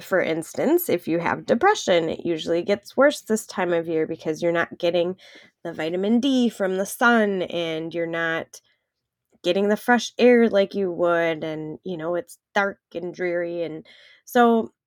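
A woman's voice: fairly low pitch, expressive, measured pace, no room sound, clean studio sound. A woman with a Wisconsin accent and a stuffed-up nose, co-hosting a casual podcast chat and rambling with lots of "like.". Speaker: podcast co-host.

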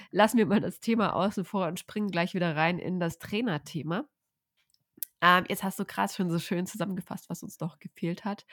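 A woman's voice: on the low side, no room sound, studio quality. A woman co-hosting a casual podcast chat, sounding nasal from a cold, chatty with frequent filler sounds. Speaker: podcast co-host.

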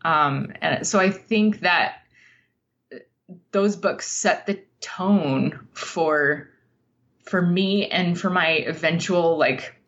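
An American woman from Utah speaking as an interview guest in a reflective, thoughtful way, often halting to search for words. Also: on the low side, a bit bright, lo-fi sound. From Utah, United States. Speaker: podcast guest, nonfiction writer.

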